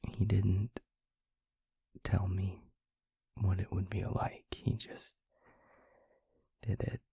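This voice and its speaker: A very husky, breathy vampire boyfriend, acted by a man, confides in a slow, halting half-whisper. His low voice crackles with gravelly fry, brooding yet gentle, as in an intimate audio roleplay. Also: level tone, dry recording, exaggerated voice. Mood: somber, reflective. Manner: halting, hushed, earnest, with long pauses. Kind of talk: intimate audio roleplay, soft confessional monologue.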